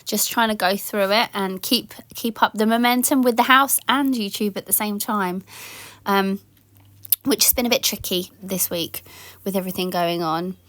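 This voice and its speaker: A woman in her thirties talks through a home tidying vlog in a relaxed, rambling voice-over full of ums and yeahs. Her voice is slightly croaky from a sore throat, and the recording is dry and close with no room sound. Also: medium pitch, normal speed, dry recording. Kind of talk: casual voice-over chat.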